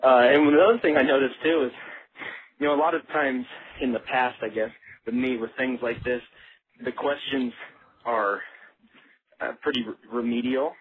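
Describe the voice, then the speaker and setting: A man's voice: medium pitch, steady tone, normal speed, pauses often. A man giving a casual, plain-spoken, folksy phone interview as a contestant being asked about his experience. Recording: muffled phone line with background noise.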